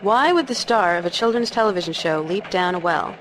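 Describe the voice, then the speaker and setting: An actress playing a composed, mock-serious hen news anchor in a game cutscene, with deadpan announcer phrasing. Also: female voice, lowish voice, fairly quick, natural voice. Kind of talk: parody newscast delivery. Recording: noticeable background noise.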